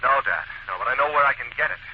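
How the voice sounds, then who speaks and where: On a muffled, faintly hissy vintage radio recording, a man plays an earnest young sleuth. He thinks aloud in a deliberate, polite way, in the style of a 1940s mystery serial. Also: medium pitch, expressive, close to an everyday voice. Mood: determined. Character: Ellery Queen, earnest young male amateur detective, thoughtful.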